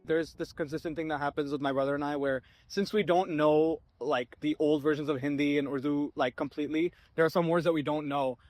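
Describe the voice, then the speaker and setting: A teenage boy answering interview questions, talking quickly and filling pauses with "um" and "uh". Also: on the high side, steady tone, a little mellow. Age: teens. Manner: fast-talking, with "um" and "uh" fillers. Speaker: student interviewee.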